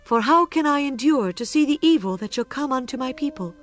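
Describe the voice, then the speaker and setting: A woman's voice: high-pitched, animated, fairly quick, fairly bright tone, no room sound, faint music behind, voice pushed up. A woman voicing a young queen who pleads earnestly and formally for her people in a dramatized scripture reading. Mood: pleading. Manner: imploring, formal, deferential.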